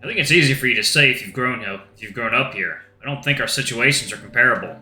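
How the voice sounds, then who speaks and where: Man reading a story in a bright voice. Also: mid-range voice, animated, quick pace. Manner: reflective, subdued reading. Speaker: let's-play narrator. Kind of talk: first-person visual novel narration. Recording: roomy echo with faint guitar music.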